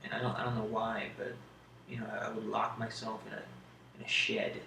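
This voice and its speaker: A man speaking in a casual ASMR question-and-answer style, rambling hesitantly in a low-key way. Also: completely flat delivery, a little fast, bright, forceful tone. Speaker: video creator answering tag questions. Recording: roomy echo, clear background noise.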